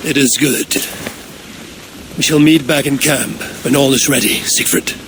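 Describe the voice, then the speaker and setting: An actor voicing a steady Viking warrior leader who speaks in calm, terse, commanding lines. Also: male voice, mid-range voice, measured pace, noisy background, lightly acted. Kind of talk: video game cutscene. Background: music.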